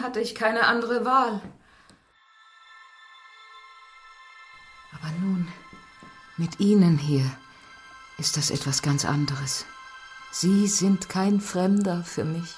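A woman's voice: medium pitch, unhurried, soft background music, lightly acted. Actress playing an anxious, polite landlady, roomy sound. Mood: confiding. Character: middle-aged London landlady, polite, anxiously curious. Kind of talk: radio drama acting.

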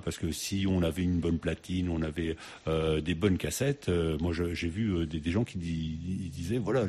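A very deep-voiced man hosting a radio music show, talking in a relaxed, meandering way. He fills his sentences with hesitations and restarts as he chats about music. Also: breathy edge. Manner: relaxed, rambling with many fillers and restarts. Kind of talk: casual radio chat.

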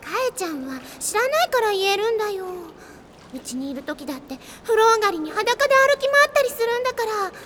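A woman's voice: very high-pitched, big pitch swings, fairly quick, heavy background noise. An actress playing a bubbly, teasing teenage schoolgirl in an anime audio drama, chatty and playful. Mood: embarrassed, pouty.

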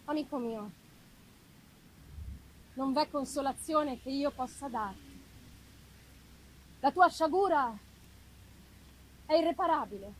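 A compassionate young gentleman in a storybook , read by a woman, speaks in a high, solemn voice full of grief for a friend. The recording has slight ambient noise. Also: slow pace, pauses often, rough recording. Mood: sorrowful. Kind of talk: dramatic audiobook character reading.

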